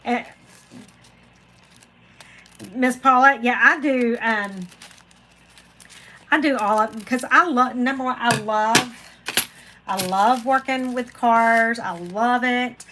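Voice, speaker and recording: A woman's voice: fairly high pitch, slow, bright, forceful tone, slight background noise. A woman, hosting a casual live chat and rambling to viewers by name. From Florida, USA. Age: 40s. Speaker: craft channel host reading live chat.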